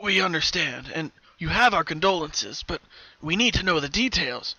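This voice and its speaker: Man as a cartoon pony policeman, polite, formal, measured, reassuring, slightly high. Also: lively intonation, bright, forceful tone, husky, no echo, highs cut off, exaggerated voice. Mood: apologetic, insistent. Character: reassuring official police colt, polite young male pony.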